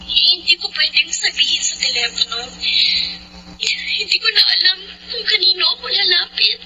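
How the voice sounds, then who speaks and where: A woman's voice: very high voice, animated, moderate pace, music in the background, low-quality recording. An actress playing a troubled young woman pleading for help in a melodramatic radio drama. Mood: distressed.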